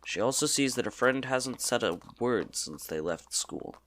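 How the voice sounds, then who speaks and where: A man's voice: mid-range voice, animated, moderate pace, fairly bright tone. A man narrating a scripted story in a dramatic storybook style.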